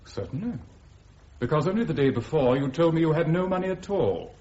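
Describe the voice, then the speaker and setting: A man's voice: fairly high pitch, animated, fairly quick, warm tone, lightly acted. A man playing a stiff, evasive senior army officer with an English accent, formal and guarded, in radio drama. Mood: guarded, defensive. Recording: dull, muffled radio drama recording.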